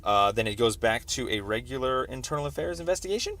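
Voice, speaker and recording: A man on a recorded phone call explaining a complaint as a caller, in a halting, wordy, roundabout way. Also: mid-range voice, lively intonation, fairly bright tone. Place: telephone call.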